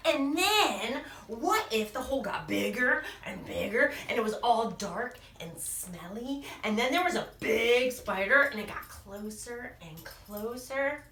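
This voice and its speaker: A small storybook monster child, anxious and imaginative, spills out one what-if after another in a lively, bright, slightly raised voice, as a woman reads it aloud. The sound is roomy and echoey, with the voice a little distant from the mic. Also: medium pitch, moderate pace, a little hiss or hum. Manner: eager, tumbling run-on questions.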